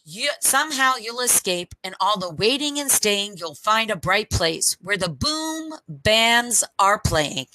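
A middle-aged woman reading a picture book aloud for children at storytime, phrase by deliberate phrase, into a headset microphone. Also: medium pitch, very animated, pushed and bright, no room sound, rough recording.

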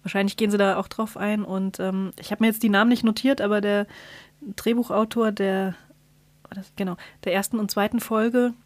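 A woman's voice: medium pitch, steady tone, a little fast, a little mellow, no room sound. A woman speaking casually as a podcast co-host, retelling things in a colloquial way. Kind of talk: casual chat.

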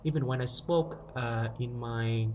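A man's voice: medium pitch, measured pace, a little mellow, slight background noise. A young man, a native Vietnamese speaker, musing hesitantly into a phone held at arm's length in a dim room at night, with many restarts. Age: young adult. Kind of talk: casual selfie monologue.

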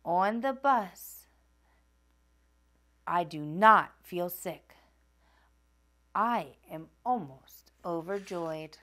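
A woman in her thirties hosting a children's storytime, reading aloud in an expressive, sing-song storytelling manner. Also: lowish voice, very slow, pauses often. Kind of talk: children's picture book read-aloud. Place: small furnished room at a wooden table, indoors.

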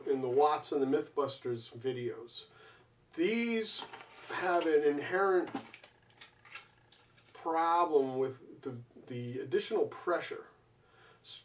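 A middle-aged man earnestly explaining home safety to a camera in a basement, often pausing with fillers. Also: a little high, recorded from a distance. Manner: earnest, gestures with his hands, many fillers. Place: basement beside a water heater, indoors.

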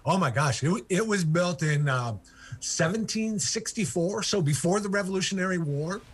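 An older man with a slightly husky, fairly high voice tells a history story over a video call. He talks with eager energy, full of ums and uhs. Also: expressive, moderate pace, soft background music. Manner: enthusiastic, many ums and uhs. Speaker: author and guest. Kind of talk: remote interview storytelling.

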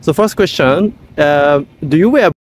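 Lively man interviewing outdoors in noisy wind. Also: fairly high pitch, measured pace. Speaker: street interviewer. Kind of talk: casual street interview. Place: outdoors at a beach and park. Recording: windy, noisy outdoor recording.

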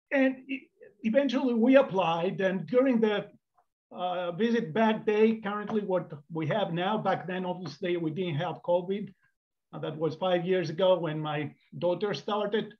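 High-voiced man from Greece, candid. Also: highs cut off. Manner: reflective, candid, with many fillers. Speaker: parent on a panel. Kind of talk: panel discussion.

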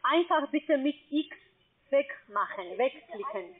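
High-voiced woman on a tinny phone line. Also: expressive, slow pace. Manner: instructing step by step, broken grammar. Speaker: scam call center agent. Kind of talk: phone call.

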